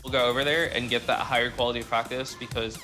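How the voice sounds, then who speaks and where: A man's voice: mid-range voice, steady tone, quick pace. A man who competes in esports, giving casual interview answers. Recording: music behind the voice.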